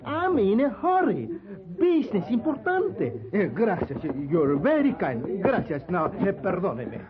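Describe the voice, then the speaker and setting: A man's voice: high voice, wildly swooping pitch, unhurried, heavily acted. An actor in an old radio western playing a pushy Mexican man with oily politeness and a Spanish-laced accent. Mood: hurried, insistent. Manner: effusive, oily politeness, Spanish words mixed in. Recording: muffled vintage radio recording, crowd sounds behind.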